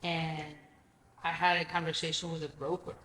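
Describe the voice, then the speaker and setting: Brief: woman from Greece, a chatty and animated storyteller, recorded in a roomy space. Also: deep voice, normal speed, slight background noise. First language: Greek. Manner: animated storyteller, acts out quoted dialogue, frequent "I'm like". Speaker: guest, real estate investor. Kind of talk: casual interview chat. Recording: echoey room with faint ambience.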